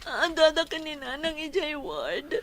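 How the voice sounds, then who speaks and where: A woman's voice: high-pitched, big pitch swings, measured pace, a crowd in the background. A woman playing an emotional, pleading young mother in a Filipino-accented radio drama. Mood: tearful, distressed. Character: distraught young mother afraid for her sick baby. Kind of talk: Philippine radio drama acting.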